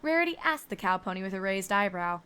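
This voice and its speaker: A woman's voice: medium pitch, big pitch swings, fast talker, sharp tone, slight background noise. A woman reading scripted story narration as the narrator.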